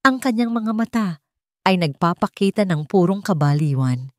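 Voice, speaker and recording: A woman's voice: medium pitch, expressive, moderate pace, dry recording, studio quality. A woman reading dramatic scripted narration as an audio storyteller.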